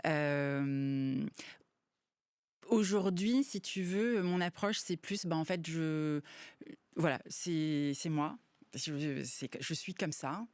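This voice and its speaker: A French woman speaking as a panel guest, thoughtful and hesitant, often pausing to search for words. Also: sits low, darkish tone, no room sound. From France. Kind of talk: casual panel discussion.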